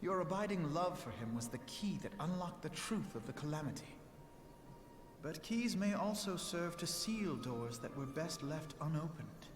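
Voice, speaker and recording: An actor playing an earnest, scholarly young nobleman, measured and diplomatic, with eloquent archaic diction in a fantasy video game. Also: fairly high pitch. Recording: echoey, reverberant game audio under loud music.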